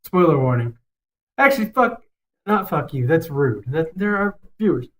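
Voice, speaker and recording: A young adult podcast co-host with a mid-pitched voice. The delivery is casual and opinionated, with emphatic, rambling sentences and plenty of swearing. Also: sing-song, moderate pace, fairly bright tone.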